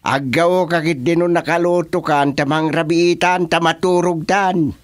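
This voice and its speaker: A woman's voice: lowish voice, fairly even intonation, unhurried, soft background music, lightly acted. A woman playing a grumbling, defensive village spouse bickering in a radio comedy drama.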